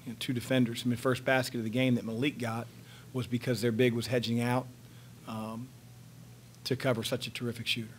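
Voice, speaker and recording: A man in his fifties, a head coach answering questions at a post-game press conference, speaking in a measured, halting way with many fillers, into a table microphone in a press room. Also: mid-range voice, flat delivery, slight background noise. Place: press room in front of a sponsor backdrop. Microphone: table microphone on a stand.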